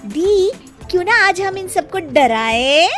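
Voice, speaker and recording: A woman voicing a playful, excitable young woman, a mischievous little sister in an animated story. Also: extremely high pitch, slow, soft background music, voice pushed up, heavily acted. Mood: playful, teasing. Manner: giggly, excitable, pleading when scared.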